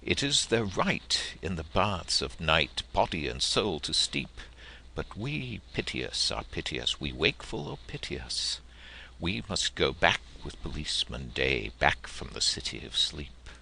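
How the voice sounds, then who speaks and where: Male storyteller, extremely low register with gravelly fry, theatrical and deliberate literary reading, some noise on the recording. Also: very animated, bright, forceful tone, rough recording. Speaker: audiobook narrator. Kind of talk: expressive literary narration and verse reading.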